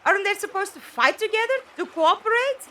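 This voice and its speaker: A woman playing a defiant young companion in an audio drama, youthful and expressive, with earnest, pointed questioning. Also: very high-pitched, brisk, full character voice. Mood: defiant, angry. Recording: background ambience noise.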